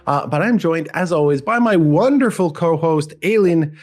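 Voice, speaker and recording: A man hosting a game podcast, slightly hoarse from a cold, upbeat and friendly as he asks questions. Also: fairly high pitch, expressive, moderate pace, a little mellow. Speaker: podcast host. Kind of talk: casual podcast chat.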